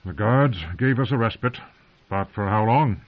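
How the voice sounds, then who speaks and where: A man's voice: lowish voice, normal speed, voice pushed up, natural voice. A man voicing a stern, impatient old male commander who growls and scorns. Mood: grim. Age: older. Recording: muffled lo-fi recording.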